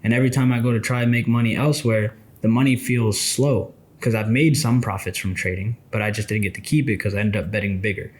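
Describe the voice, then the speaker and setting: Young man in his twenties, lowish voice, casual reflective talk, direct to viewer. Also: steady tone, fast, hardly any pauses. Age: 20s. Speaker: trading mentor.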